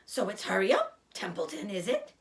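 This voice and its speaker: A woman's voice: medium pitch, wildly swooping pitch, moderate pace. A woman voicing a greedy, self-pitying old male rat in a storybook, complaining and mocking theatrically. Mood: sarcastic, disgusted.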